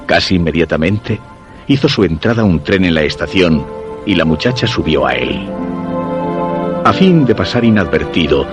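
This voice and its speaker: Casting: obsessive, lovesick male diarist, Spain accent, low voice, measured radio-play narration with music underneath. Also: animated. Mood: brooding. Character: lovesick, obsessive office worker narrating his diary. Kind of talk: dramatic first-person radio narration.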